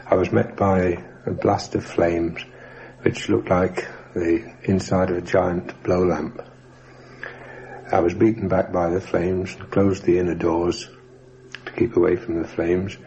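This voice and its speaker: Middle-aged male, ex-airman. Mellow, level delivery, measured and factual, first-hand documentary testimony. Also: deep voice, highs cut off. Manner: measured, matter-of-fact, precise wording. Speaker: former RAF rear gunner. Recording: faint noise on old radio recording.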